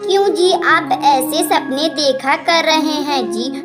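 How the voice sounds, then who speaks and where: A woman voicing a gentle, practical mother bird in a cartoon, with a plain, worried manner. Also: high voice, takes it slowly, loud music behind the voice. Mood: worried, resigned. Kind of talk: Hindi cartoon moral story acting.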